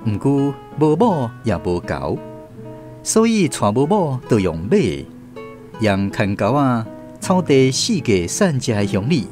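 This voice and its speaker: Animated Taiwanese male storyteller over soft guitar. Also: mid-range voice. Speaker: radio drama narrator and storyteller. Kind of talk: colloquial storytelling narration. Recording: guitar music under the voice. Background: plucked guitar music.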